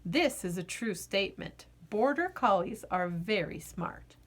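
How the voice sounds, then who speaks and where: A woman reading a children's picture book aloud as an expressive narrator, with deliberate phrasing and dramatic pauses. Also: medium pitch, a little hiss or hum. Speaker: children's storybook reader.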